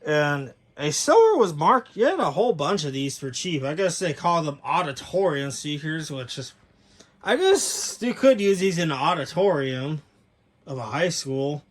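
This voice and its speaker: A man's voice: a little high, big pitch swings, normal speed. A man giving a casual, unscripted overview as a hobbyist video host, rambling and correcting himself as he goes.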